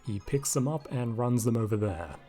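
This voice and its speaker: A man with a slightly raspy voice, casually commenting over gameplay into a desk microphone and thinking aloud with lots of asides. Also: mid-range voice, expressive, moderate pace, mellow, soft background music. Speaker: video game commentator. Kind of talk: casual let's-play commentary.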